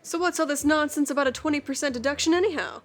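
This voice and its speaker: A woman's voice: sits high, fast talker, faint music behind, close to an everyday voice. A woman as a skeptical partygoer.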